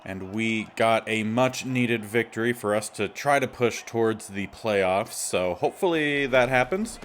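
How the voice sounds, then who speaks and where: A man with a slightly raspy voice giving casual gameplay commentary as the host of a gaming series. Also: on the low side, a little slow, fairly bright tone, slight background noise.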